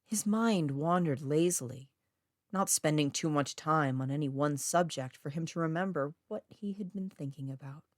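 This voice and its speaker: Low-voiced woman narrating a story. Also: normal speed, no room sound, studio quality. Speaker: author reading own short story. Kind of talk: scripted narration of eerie fiction.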